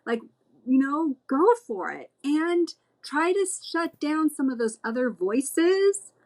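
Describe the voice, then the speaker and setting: A woman in a casual group podcast chat, warmly encouraging and speaking with many fillers. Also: high-pitched, big pitch swings, takes it slowly. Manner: encouraging, lots of affirming murmurs and fillers. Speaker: podcast guest.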